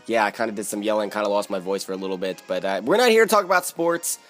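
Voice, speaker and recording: Casting: hoarse Philly-young man, chatty gaming commentary, faint music bed. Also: on the low side, expressive, fast talker, fairly bright tone. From Philadelphia, USA. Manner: chatty, strategizing aloud, frequent fillers. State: hoarse, raspy from yelling. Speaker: gaming YouTuber. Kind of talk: live game commentary.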